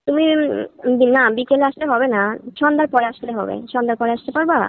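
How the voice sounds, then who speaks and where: A flirtatious, lonely sister-in-law, played by a woman in a phone-call drama. She coaxes and teases in a very quick stream of talk, heard through a thin, tinny telephone line with little room sound. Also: sits high, no room sound. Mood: coaxing. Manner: coaxing, teasing, suggestive. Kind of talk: staged phone-call dialogue.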